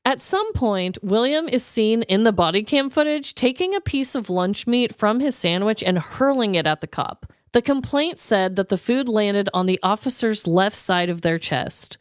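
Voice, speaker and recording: A woman's voice: medium pitch, expressive, moderate pace, few pauses, clear, resonant tone, dry recording, clean studio sound. A woman co-hosting a comedy podcast, chatting casually in a playful, slangy, giggly manner. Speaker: podcast co-host.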